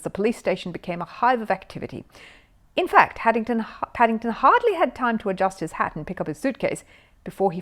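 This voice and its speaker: A woman's voice: mid-range voice, a bit bright. A woman narrating a children's story expressively from a written text.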